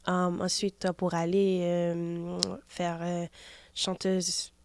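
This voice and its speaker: A woman's voice: lowish voice, completely flat delivery, extremely slow, darkish tone, smooth. A woman in her twenties from Haiti with a Haitian French accent, a singer answering interview questions hesitantly, with many fillers. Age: 20s. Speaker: singer guest. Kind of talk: casual interview.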